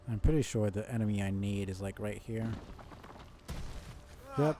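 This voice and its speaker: A man giving casual live commentary on a video game, musing and muttering to himself in an unhurried way as he thinks aloud. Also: lowish voice, warm tone.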